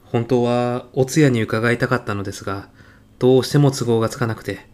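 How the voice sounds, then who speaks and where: A man playing an earnest physicist in an audio comedy sketch, speaking formally and reverently of his mentor with deadpan, grandiose solemnity. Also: medium pitch, a little fast, close to an everyday voice. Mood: solemn, respectful. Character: earnest, reverent male physicist, devoted protégé of late professor.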